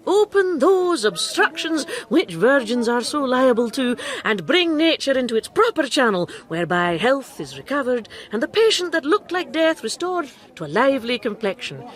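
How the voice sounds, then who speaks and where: A woman's voice: sits high, very animated, normal speed. An actress playing a woman who poses as a male quack doctor in a radio drama, with grand, self-assured patter. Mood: brisk, persuasive. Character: woman posing as a flamboyant, quick-witted male quack doctor. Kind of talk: radio drama acting and narration.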